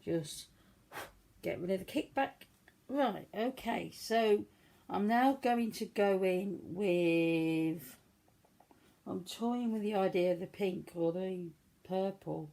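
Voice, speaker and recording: A woman with a cold, croaky voice, chatting casually through a makeup tutorial in a self-deprecating way. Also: mid-range voice, takes it slowly, mellow. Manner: chatty, self-deprecating, talks while working. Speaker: beauty vlogger.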